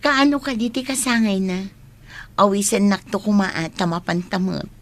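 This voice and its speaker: An actress with an Ilocano accent playing a forward, materialistic young college student in a radio drama. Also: female voice, medium pitch, normal speed, natural voice. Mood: curious. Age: young adult.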